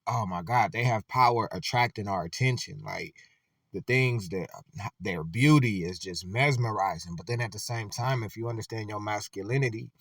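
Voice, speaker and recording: A man's voice: fairly low pitch, moderate pace, fairly bright tone, no room sound, far from the mic. A man giving loose, conversational reaction commentary, full of filler words.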